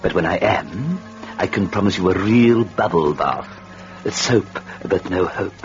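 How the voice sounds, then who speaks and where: A man's voice: low-pitched, expressive, unhurried, soft background music. A man playing a gleefully wicked, mock-courteous devil who tells a story in an old radio horror drama, theatrical and sly. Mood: sly, teasing. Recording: dull lo-fi old radio broadcast recording.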